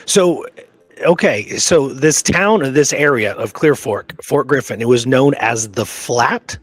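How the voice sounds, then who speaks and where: A man's voice: mid-range voice, animated, unhurried, dry recording. A man co-hosting a history show in casual, informal chat, thinking aloud as he goes. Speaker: co-host of a Western history show.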